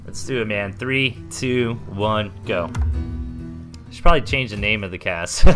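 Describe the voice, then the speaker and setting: A man's voice: on the low side, animated, a little slow, fairly bright tone, faint music behind. A man hosting a live esports replay cast, giving chatty play-by-play with lots of fillers. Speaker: host caster. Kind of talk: live esports game commentary.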